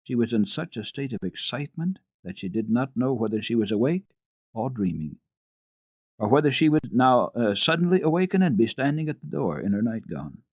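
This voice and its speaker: A man giving an expressive, careful dramatic reading of classic children's literature as a radio storyteller. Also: mid-range voice, a little fast, no room sound.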